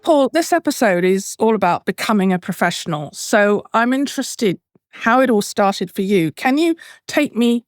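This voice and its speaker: A woman hosting a podcast, reading a measured scripted introduction and then interviewing her guest. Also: medium pitch, lively intonation, no room sound.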